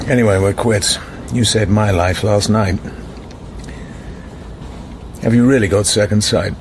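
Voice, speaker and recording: An actor playing a cool, guarded secret agent in a radio drama, clipped, dry and in command. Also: male voice, fairly even intonation, unhurried. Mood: relaxed, wry. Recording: music and rumble behind dialogue.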